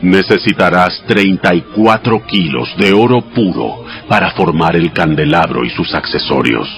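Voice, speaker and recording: A man playing God in a dramatized audio Bible, giving exact instructions in a solemn, measured, commanding manner. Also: sits low, a bit bright. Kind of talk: dramatized scripture reading. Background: music behind the voice.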